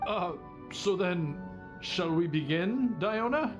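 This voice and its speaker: A man's voice: high voice, animated, slow, dull audio, over music. A man voicing a polite, eager and slightly nervous young bartender in an anime game, courteous and hesitant.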